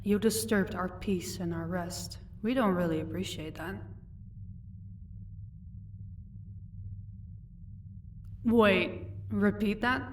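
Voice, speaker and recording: A woman's voice: medium pitch, close to an everyday voice. A woman playing a powerful, commanding vampire lady in an audio roleplay, taunting and condescending with composure. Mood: scornful, taunting. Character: powerful female vampire, mocking, commanding, married lady of an estate. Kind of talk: audio roleplay acting addressed to the listener. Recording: distant microphone, faint background noise.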